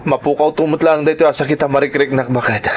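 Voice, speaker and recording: A man's voice: a little high, steady tone, fast, a little hiss or hum, close to an everyday voice. A man with an Ilocano accent playing an ailing, reluctant husband who frets about money in an audio drama. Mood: pained.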